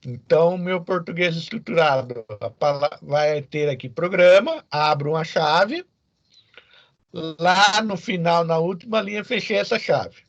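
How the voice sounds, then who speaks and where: Male Brazilian teacher, notably high voice, didactic and informal, question tags; dull lo-fi sound. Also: measured pace, gravelly, no room sound. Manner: didactic, checks in with short tag questions. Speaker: programming teacher. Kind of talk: informal explanatory lecture to students.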